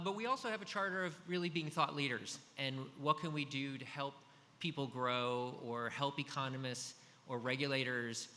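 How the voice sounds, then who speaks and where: A man in his fifties with a loud voice, giving a casual, filler-heavy business talk at a conference through a microphone. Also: mid-range voice. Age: 50s. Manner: loud, chatty, many fillers and restarts. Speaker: conference speaker. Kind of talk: business presentation. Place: large conference hall. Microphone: handheld or stand microphone. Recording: hall reverb with faint noise.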